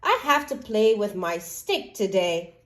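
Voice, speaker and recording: A woman's voice: medium pitch, sing-song, unhurried. A woman voicing an idle, playful farm dog in a children's story, with a simple, offhand manner.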